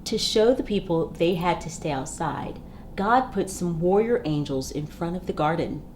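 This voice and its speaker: A woman reads a children's storybook aloud in an expressive, emphatic way, with dramatic phrasing. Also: lowish voice, normal speed, slight background noise. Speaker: storybook reader.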